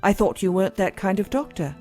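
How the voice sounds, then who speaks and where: An exhausted, compassionate doctor played by a woman in an audio drama. She speaks at an average pitch, earnest and dutiful, over quiet background music. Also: animated, fairly quick, close to an everyday voice. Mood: grave. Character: weary, dedicated, compassionate female doctor.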